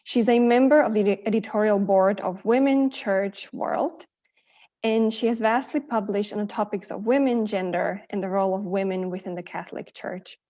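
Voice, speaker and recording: A woman's voice: mid-range voice, steady tone, moderate pace, warm tone. A woman acting as session moderator, formally introducing a speaker at an academic event. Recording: dull, lo-fi audio.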